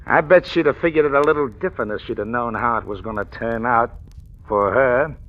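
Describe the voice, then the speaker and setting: A man's voice: medium pitch, brisk, close to an everyday voice. An actor playing a mocking, menacing male gangster boss in a 1940s radio drama, with taunting, slangy tough talk. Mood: taunting, bossy. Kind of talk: 1940s radio crime acting. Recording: dull vintage radio recording.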